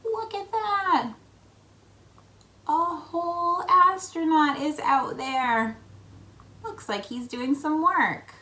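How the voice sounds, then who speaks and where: A woman reading a children's picture book aloud in a playful, expressive way, prompting young listeners with questions. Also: sits high, slow pace, pauses often, a little hiss or hum. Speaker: children's read-aloud host.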